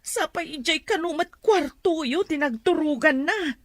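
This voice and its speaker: Woman doing a worried mom, kinda high voice, anxious and pleading. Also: normal speed, dry recording, studio quality, natural voice. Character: worried mother, middle-aged woman.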